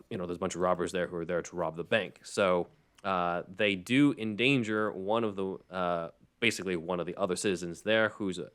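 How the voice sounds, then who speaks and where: A man's voice: low voice, fairly bright tone, clean tone. A man hosting a podcast in a casual, rambling, conversational way. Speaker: podcast host. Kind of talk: casual podcast discussion of a script.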